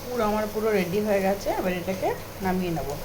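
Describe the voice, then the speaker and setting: A woman's voice: medium pitch, fast talker. Bengali woman cooking amid noisy sizzle. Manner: step-by-step narration of own actions. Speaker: home cook vlogger. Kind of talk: casual cooking demonstration. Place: home kitchen, indoors. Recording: noisy home kitchen, frying and sizzling. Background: frying, sizzle, cooking noise.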